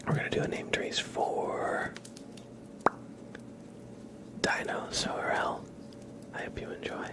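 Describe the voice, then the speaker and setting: A man whispering gently as he hosts an ASMR live stream, chatting to viewers in a breathy voice and often repeating short phrases. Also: slow pace, pauses often. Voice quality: breathy whisper with creaky edges.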